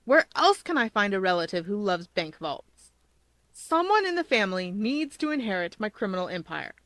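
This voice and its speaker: A woman's voice: medium pitch, sing-song, normal speed, fairly bright tone, no echo. A woman voicing a grandiose, gleeful male supervillain who monologues pompously in a fan fiction reading. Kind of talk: fan fiction audiobook character voice.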